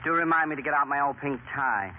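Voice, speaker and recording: An actor playing a wry, teasing husband with a dry, sarcastic manner in a scratchy 1930s radio play. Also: male voice, on the high side, fast, phone-quality sound, natural voice. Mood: dryly teasing. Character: wry, teasing husband, grudgingly patient shopper.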